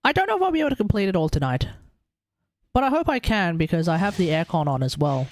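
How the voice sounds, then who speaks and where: A man's voice: high voice, sing-song, fast, no room sound, clean studio sound. An Australian man chatting casually as a game live streamer, rambling and often rewording himself as he goes. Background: game music.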